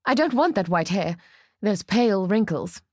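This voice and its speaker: A woman voicing a girlish, excitable old bride who is deluded and frantic, in a dramatic story reading. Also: medium pitch, normal speed, no room sound, studio quality, lightly acted. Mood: hysterical. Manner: girlish, playful turning distressed.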